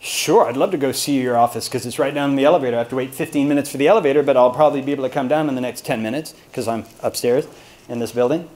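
A man's voice: mid-range voice, very fast, a little mellow. An American man from Texas giving an informal, humorous lecture to colleagues, rambling and joking at his own expense. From Austin, Texas, United States. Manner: self-deprecating, rambling, jokey storytelling. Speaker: university lecturer giving a talk.

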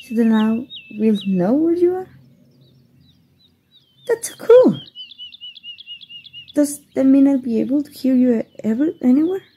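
A woman's voice: high voice, lively intonation, a little slow, long pauses, warm tone, breathy edge, exaggerated voice. A woman voice actor voicing a playful, flustered young fairy in an ASMR roleplay, giggling and stammering shyly.

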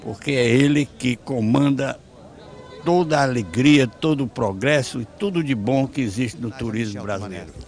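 A man in his seventies giving a halting tribute in an outdoor TV interview, searching for words. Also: mid-range voice, darkish tone. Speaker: former trade union president, interviewee. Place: city square outdoors at night. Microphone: handheld reporter microphone.

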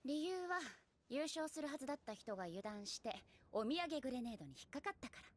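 Lively, confident anime teen girl. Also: high voice, fast talker. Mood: confident, teasing. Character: cool, confident teenage girl sniper. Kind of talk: anime dialogue.

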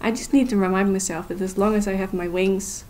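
A woman's voice: mid-range voice, steady tone, a little fast, a little mellow, lightly acted. An actress playing a hurt but determined young woman in an audio drama, confiding and emotional. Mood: resolute. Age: young adult.

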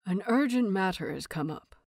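A woman's voice: mid-range voice, expressive, moderate pace, creaky, darkish tone, dry recording, clean studio sound. A woman voicing a stern old male master in a story, terse and reluctant, as if each word is forced out. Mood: strained, reluctant.

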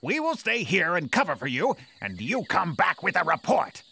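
A woman's voice: fairly low pitch, sing-song, normal speed, sharp tone, husky, exaggerated voice. A woman voicing a bossy, suspicious male boss of a gang of thieves in a storybook cartoon, commanding and scornful.

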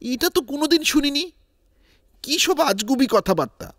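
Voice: high-pitched, expressive, normal speed, fairly bright tone, dry recording, studio quality. A voice actor playing a poor, wronged male goat owner from a village in a cartoon, plaintive and protesting. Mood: aggrieved, sorrowful. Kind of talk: cartoon dialogue. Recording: music bed under the voice. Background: music.

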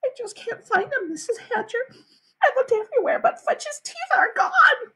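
A woman's voice: extremely high pitch, animated, normal speed, sharp tone, pitched above the performer's own voice, exaggerated voice. A woman voicing a whiny, panicky young schoolgirl in a storybook, pleading and wailing dramatically. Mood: sobbing apologetic.

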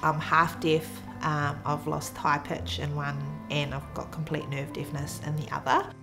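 A woman in her twenties with a New Zealand accent, reflecting quietly in a documentary interview. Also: sits low, level tone, a little slow, creaky. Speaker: athlete. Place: indoor room with framed photos. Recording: music underneath.

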